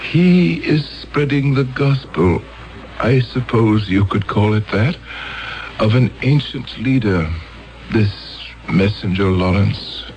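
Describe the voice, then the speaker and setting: Solemn alien elder, measured, slow, expressive rise and fall. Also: male voice, lowish voice, low-quality recording. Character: grave male elder of a peaceful alien world. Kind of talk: 1970s radio drama.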